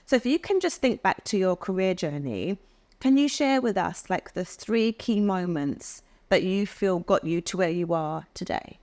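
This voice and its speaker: A woman hosts a podcast conversation, upbeat and encouraging as she sets up questions for her guests. Her voice sits a little low, and she keeps the chat moving with quick affirmations. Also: expressive, darkish tone. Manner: upbeat and encouraging, frequent backchannels. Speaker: podcast host. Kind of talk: casual interview chat.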